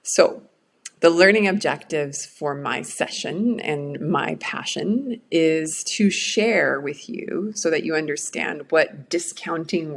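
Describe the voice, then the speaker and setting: A woman's voice: deep voice, vocal fry. A middle-aged woman whose first language is Swedish, giving an earnest, reflective workshop talk on change management.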